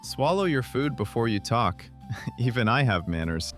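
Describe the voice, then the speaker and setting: A man's voice: mid-range voice, very animated, a little slow, a bit bright, soft background music. A man voicing a cocky, teasing young wolf sorcerer with a wry, casual manner in a fantasy audio drama.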